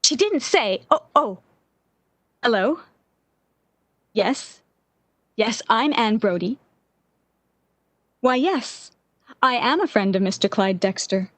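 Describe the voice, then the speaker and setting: A woman playing a distraught, obsessive lovesick woman in a 1940s-style radio drama, with an intense, halting, theatrical delivery. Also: a little high, animated, no echo. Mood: breathless anticipation.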